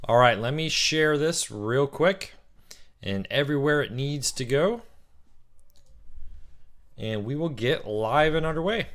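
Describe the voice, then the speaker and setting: A man hosting a casual weekly live-stream Q&A, greeting viewers and answering their questions, his voice a little rough from cheering. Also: medium pitch, a bit bright. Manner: chatty, reads out viewer comments and greets them by name.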